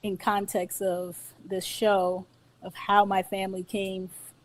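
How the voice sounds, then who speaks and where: A woman raised in New York, a guest on a relaxed radio interview, playful and laughing as she tells stories and repeats her punchlines. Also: medium pitch, a little slow. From New York, United States.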